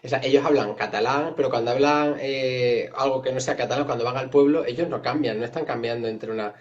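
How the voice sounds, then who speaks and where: A man from Extremadura, a writer and translator, explaining things in a reflective, somewhat hesitant way in a relaxed conversation. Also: mid-range voice, brisk, lo-fi sound. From Extremadura, Spain. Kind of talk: casual conversation.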